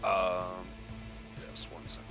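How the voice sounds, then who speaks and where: A man's voice: deep voice, fairly even intonation, takes it slowly. A man chatting casually as a player during a tabletop roleplaying game. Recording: clear music behind the voice. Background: background music.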